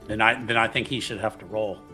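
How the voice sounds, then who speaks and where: A man's voice: lowish voice, steady tone, brisk, bright, forceful tone, soft background music. A man chatting casually as a player in a tabletop roleplaying game.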